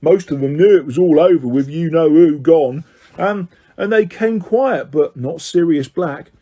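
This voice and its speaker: Man doing a high, gossipy bus conductor for an audiobook; excitable chatter. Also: measured pace. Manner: gossipy, breathless, dramatic.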